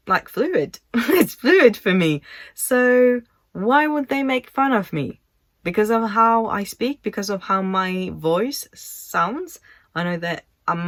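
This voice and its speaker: A French woman in her thirties speaks English slowly and reflectively, her accent clear, her pitch in a middle range. She often trails off and restarts a thought. The sound is dry and close, with no room around her. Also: no echo. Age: 30s. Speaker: vlogger. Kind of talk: casual talk to camera.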